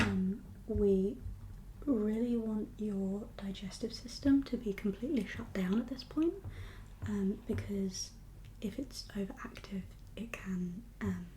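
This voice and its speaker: A woman whispering as a doctor in an ASMR exam roleplay, giving gentle, reassuring instructions in a breathy voice. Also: mid-range voice, slight background noise, rough recording. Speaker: ASMR performer playing a doctor.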